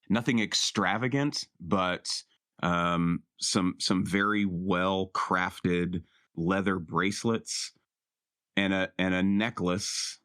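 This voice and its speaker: A man with a low voice talks as a player in a tabletop game. The sound is dry and close, and his delivery is casual and unscripted. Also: fairly bright tone, clear, resonant tone, dry recording.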